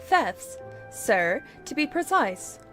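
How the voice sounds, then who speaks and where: Woman playing a refined, high-voiced wronged lady. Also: big pitch swings, takes it slowly, fairly bright tone, soft background music, full character voice. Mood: aggrieved. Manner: elegant, precise, entreating. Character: refined, haughty wealthy lady, wronged and particular.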